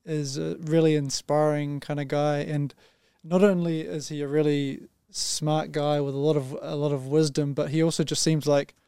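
Mellow, smooth-voiced man, podcast guest. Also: on the high side, moderate pace, few pauses, no echo, studio quality. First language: English. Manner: friendly, relaxed, with "you know" fillers. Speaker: guest, company department head. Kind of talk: casual podcast conversation.

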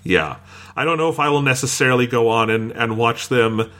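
A man with a bright, clear-edged voice hosts a casual discussion show. He speaks informally, as in a chat among friends, and lets the odd swear word slip in. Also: mid-range voice, animated, brisk. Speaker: podcast host.

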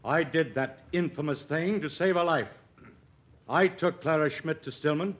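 Actor as an earnest, defiant physician, old-radio sound. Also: male voice, a little high, normal speed, a bit bright, natural voice. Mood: impassioned, defiant. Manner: commanding, impassioned, oratorical. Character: earnest, defiant idealistic physician pleading his cause. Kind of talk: old-time radio drama acting. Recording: dull vintage radio recording.

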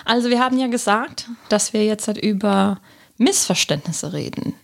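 A woman's voice: mid-range voice, moderate pace, gravelly. A woman with a Palatinate German accent, co-hosting a casual podcast about the Bible and thinking aloud with fillers and restarts. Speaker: podcast co-host.

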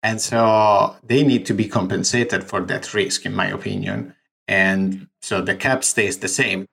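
A man's voice: on the low side, fairly even intonation, a little slow, gravelly, a bit bright. A man from Italy with an Italian accent, a startup founder talking through his fundraising in an interview, explaining figures step by step. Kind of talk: recorded interview.

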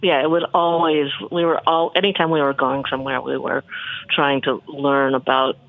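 Gravelly chef on a tinny phone line. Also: mid-range voice, normal speed, no echo. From Michigan, USA. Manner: relaxed, rambling, many ums and restarts. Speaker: chef and cookbook author, guest. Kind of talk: casual interview answers.

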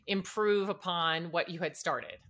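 A woman's voice: lowish voice, measured pace, a bit bright. A woman hosting a casual interview, asking questions in a friendly, encouraging way. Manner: friendly, encouraging, brief backchannels. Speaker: podcast host.

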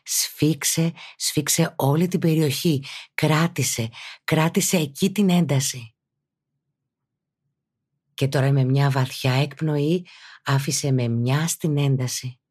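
A woman speaking as a yoga teacher and podcast host, guiding a meditation in a calm, instructive, deliberate way. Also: low-pitched. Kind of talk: guided meditation narration.

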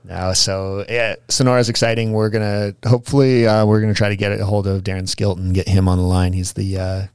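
Man, podcast co-host, casual chat, gravelly, dry close sound. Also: on the low side, brisk, hardly any pauses, no room sound. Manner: relaxed, rambling with fillers and restarts.

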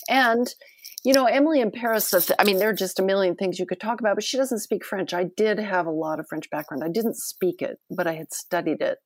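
A woman with a soft voice, a guest telling animated stories in a casual interview. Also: mid-range voice, brisk, no echo.

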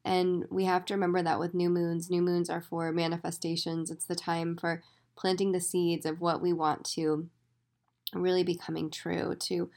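A woman hosting a solo astrology podcast, her voice raspy and hoarse, speaking in an enthusiastic, effusive way. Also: lowish voice, little pitch movement, moderate pace, darkish tone. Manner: enthusiastic, effusive, talks in spiritual terms. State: hoarse after days of yelling. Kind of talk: casual solo podcast monologue.

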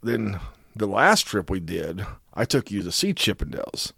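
A lower-pitched man with a wildly lively voice that swoops up high whenever he acts out a quote. He tells crude, comic stories and commits fully to every tale. The sound is dry and close. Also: normal speed, gravelly, breathy edge, no echo. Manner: animated, over-the-top storyteller with big voiced quotes; profane, jokey. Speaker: podcast co-host. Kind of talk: casual storytelling chat.

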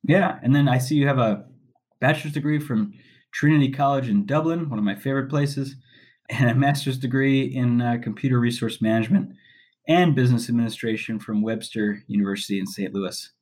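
A man's voice: mid-range voice, brisk, a little mellow. Friendly male podcast host. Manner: friendly, with fillers. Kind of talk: interview hosting.

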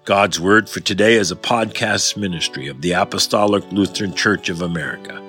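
A man, a pastor, giving a devotional with scripture reading and prayer in a solemn, measured, formal way. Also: low voice, pushed and bright, soft background music. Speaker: pastor and podcast host.

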